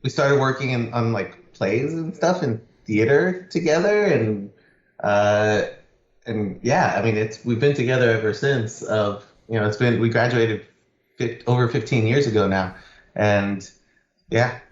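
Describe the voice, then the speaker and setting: A man's voice: medium pitch, measured pace. A man from Los Angeles, a screenwriter guest on a podcast, easygoing and repeating words as he thinks. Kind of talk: casual interview chat. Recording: echoey remote call, dull.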